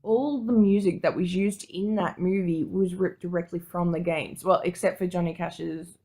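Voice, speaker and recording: A woman's voice: on the low side, fairly even intonation, moderate pace, warm tone. A woman co-hosting a podcast, talking casually in an opinionated, informal way with some swearing. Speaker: podcast co-host. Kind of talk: casual panel discussion.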